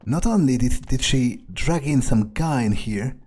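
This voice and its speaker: A man role-playing a jaded, domineering, foul-mouthed male vampire in a video game, thinking aloud in a contemptuous, deliberate way. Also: mid-range voice, lively intonation, a little mellow. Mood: irritated, contemptuous. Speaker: gamer role-playing in character. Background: faint game music.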